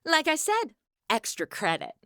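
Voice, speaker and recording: A woman's voice: high voice, wildly swooping pitch, measured pace, bright, forceful tone, no room sound, clean studio sound, full character voice. A woman playing a worldly, sardonic young influencer with slangy modern delivery in a comic audio drama. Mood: flustered, sassy.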